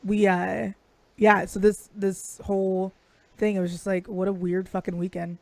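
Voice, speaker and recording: Woman, raspy, swears freely, dry close sound, chatty storyteller. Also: mid-range voice, flat delivery, moderate pace, a little mellow, dry recording. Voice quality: raspy today. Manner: profane, storytelling. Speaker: podcast co-host. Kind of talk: casual chat.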